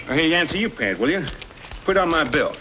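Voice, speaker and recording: A man's voice: a little high, sing-song, fairly quick. An actor playing a bold, courteous young frontiersman with folksy phrasing in an old-time radio Western. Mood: determined, easygoing. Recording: noisy old radio drama recording.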